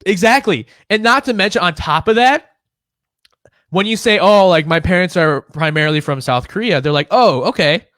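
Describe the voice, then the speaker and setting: High-voiced profane young man. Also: normal speed, no room sound, clean studio sound. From Illinois, United States. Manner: profane, animated, laughs often. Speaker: podcast co-host. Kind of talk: casual chat.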